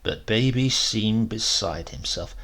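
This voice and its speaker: A man narrating a short story as a dramatic audiobook reading, with deliberate phrasing and emphasis. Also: lowish voice, fairly bright tone, slightly rough.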